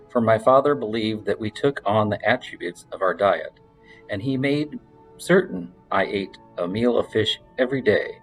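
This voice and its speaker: Male narrator, lower register, measured and expressive audiobook read of a literary novel. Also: rough recording. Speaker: reader of literary fiction.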